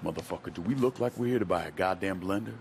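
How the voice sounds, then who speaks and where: An actor playing a gruff, blunt, profane tough guy in a crime video game. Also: deep voice, quick pace, darkish tone. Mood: gruff banter. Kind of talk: video game drama acting. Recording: car and action noise behind.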